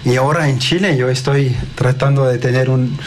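Man, non-native Spanish, interview guest, hesitant, lots of ums, mid-pitched, faint noise. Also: fairly even intonation, normal speed. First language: not Spanish. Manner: hesitant, many fillers, polite. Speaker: guest, technology director. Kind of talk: interview answers.

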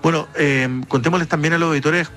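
A man's voice: a little high, fairly even intonation, quick pace, a bit bright, faint music behind. A man with a Chilean accent hosting a casual radio interview, often restarting and repeating his questions. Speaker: radio show host.

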